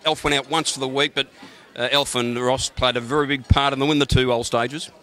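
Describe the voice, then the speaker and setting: Relaxed racing tipster. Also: male voice, medium pitch, brisk. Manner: relaxed, hesitant, starts with "look". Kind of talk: casual interview chat. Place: racecourse pavilion lounge.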